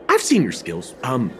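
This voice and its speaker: An actor playing a jittery, cheeky young male guard in a video game, talking informally in an excitable, wheedling way. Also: mid-range voice, slight background noise, heavily acted. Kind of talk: scripted video game cutscene acting.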